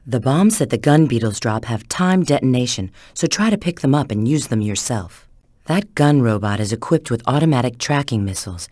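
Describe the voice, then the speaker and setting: A woman's voice: extremely low pitch, animated, moderate pace, warm tone, no echo, studio quality. An actress playing a sly, confident female spy in a video game, giving scripted hints in a cool, assured, instructive way.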